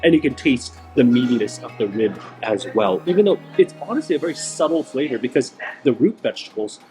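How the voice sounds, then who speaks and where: A man hosting a travel food vlog, a little hoarse and raspy from feeling unwell, talking enthusiastically and repeating words for emphasis. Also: mid-range voice, expressive, a little fast, mellow, faint music behind. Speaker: food vlogger host. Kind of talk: casual travel vlog narration. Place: outdoors in a small-town plaza, then a tiny eatery.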